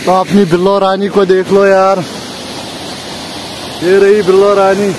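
A man's voice: high-pitched, little pitch movement, measured pace. A man, chatting casually to his viewers as a vlogger and repeating phrases for emphasis, on a handheld phone outdoors. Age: 20s. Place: outdoors on village roads, paths and by water. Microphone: handheld phone at arm's length. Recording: noisy outdoor phone recording.

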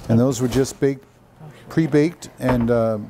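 A man's voice: mid-range voice, measured pace, warm tone. A middle-aged man chatting casually as he co-hosts a cooking demonstration in a large kitchen. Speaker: cooking show co-host. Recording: faint background noise.

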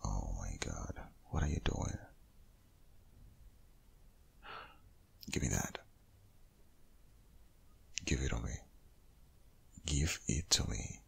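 Man acting very deep, gravelly, breathy protective boyfriend. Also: big pitch swings, takes it slowly, long pauses, heavily acted. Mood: exasperated, concerned. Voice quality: very deep, gravelly, husky, often half-whispered. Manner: insistent, intimate, pleading. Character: protective, worried boyfriend of a pregnant woman. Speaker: audio roleplay performer. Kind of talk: boyfriend ASMR roleplay, close and breathy.

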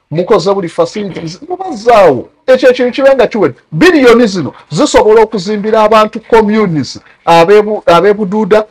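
A man's voice: high-pitched, husky. A man with a Ugandan accent giving critical talk show commentary, mixing English terms into his speech.

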